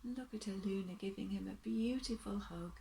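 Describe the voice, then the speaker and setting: A woman reading a children's story aloud in a gentle, deliberate, expressive way, pausing to talk to her young listeners. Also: medium pitch, creaky, a little hiss or hum.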